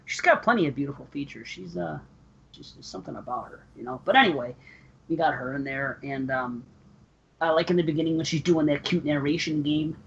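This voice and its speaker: A man's voice: on the high side, fairly quick, creaky, a bit bright, husky. Rambling man on a dull line. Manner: rambling, many fillers and restarts. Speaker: podcast co-host. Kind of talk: casual chat. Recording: slightly dull, faint noise.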